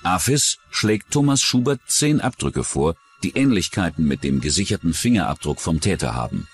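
A man's voice: deep voice, sing-song, moderate pace, faint music behind. A man narrating a true-crime documentary in a dramatic scripted style.

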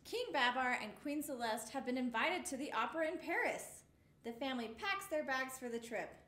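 High, lively woman reading a storybook aloud. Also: brisk, reverberant. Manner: expressive, exclamations for the story. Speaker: storytime reader. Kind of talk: reading a picture book aloud to young children. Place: small indoor studio with a rainbow mural.

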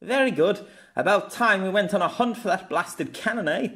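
A man's voice: sits high, lively intonation, normal speed, a bit bright, pitched above the performer's own voice. A man voicing a jovial, teasing veteran swordmaster in a fantasy audiobook. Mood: merry. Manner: playful, teasing.

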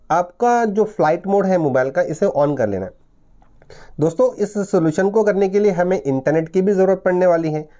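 A man's voice: sits high, mellow. A man in his thirties giving an explanatory tech tutorial to viewers, going step by step and repeating himself as he explains.

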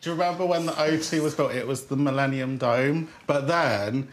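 A man's voice: medium pitch, moderate pace, a little hiss or hum. A man chatting playfully as a guest at a dinner party. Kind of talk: casual dinner table chat.

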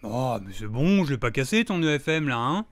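A man's voice: mid-range voice, sing-song, fairly quick, lightly acted. A man acting a playful, careless brother fascinated by a gadget in an audio drama, jokey and enthusiastic. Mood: dismissive.

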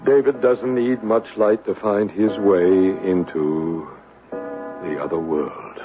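An actor playing a calm, reassuring male psychic investigator in a radio drama, earnest and deliberate. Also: lowish voice, over loud music. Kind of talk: 1970s radio drama acting. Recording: tinny, hissy 1970s radio recording.

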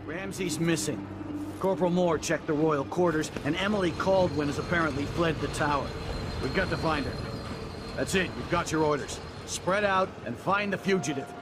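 A man's voice: a little high, normal speed, background noise, natural voice. An actor playing an earnest soldier, a henchman in a coup, in a video game. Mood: urgent, commanding. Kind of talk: dramatic game voice acting.

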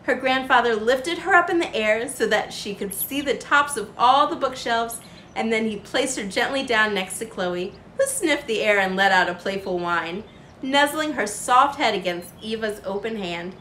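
A woman's voice: a little high, animated, normal speed, sharp tone. A young adult woman reading a picture book aloud expressively as a children's storytime host. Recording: roomy, with faint background noise.

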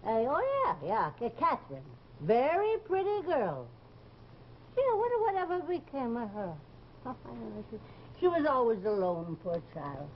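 A woman's voice: fairly high pitch, huge pitch swings, normal speed. An older woman playing a folksy, gossipy landlady and former stage fortune teller in a television drama, with theatrical exclamations. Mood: gossipy, pitying. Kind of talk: 1950s television drama acting. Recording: dull, noisy old TV soundtrack.

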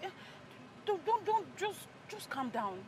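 A woman's voice: very high voice, sing-song, unhurried, darkish tone, a little hiss or hum, full character voice. A woman playing a cautioning friend in a film drama. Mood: cautioning, urgent. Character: animated, cautioning friend of a wronged wife.

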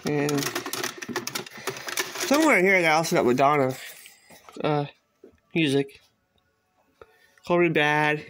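A man chatting casually in a home video, halting and repeating himself as he shows off a collection. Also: on the high side, a bit bright. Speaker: cassette collector showing a collection. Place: small bedroom, at a closet, indoors. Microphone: handheld phone camera, speaker behind it. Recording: noisy handheld home recording, clicks.

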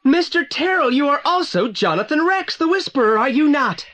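A man's voice: very high-pitched, very animated, moderate pace, fairly bright tone, heavily acted. A young male actor with a British accent playing a clever, formal, confident boy detective in a children's audio drama. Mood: triumphant.

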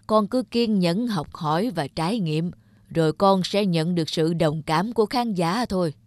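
An actor playing an earnest, remorseful older father who speaks in a slow, measured, solemn way in an animated drama. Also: high voice, no room sound, lightly acted. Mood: earnest, encouraging. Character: grey-bearded old father, veteran animator, earnest and remorseful. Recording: background music under the voice.

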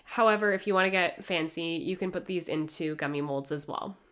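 A young woman giving a gentle, measured voice-over as she explains her home cooking step by step. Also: on the low side, over loud music. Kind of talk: calm instructional voice-over.

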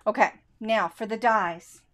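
A woman's voice: medium pitch, unhurried, a bit bright. A middle-aged woman leading a live card-making demo, chatting enthusiastically and answering viewers' questions. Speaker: card-making instructor hosting a live stream. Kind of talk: casual live craft demonstration. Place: craft desk, indoors.

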